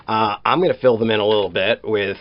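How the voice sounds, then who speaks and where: A man's voice: lowish voice, steady tone, quick pace. A man hosting a podcast, chatting casually and joking with his guest. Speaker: podcast host. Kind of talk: casual conversational interview. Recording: slightly dull, lo-fi podcast audio.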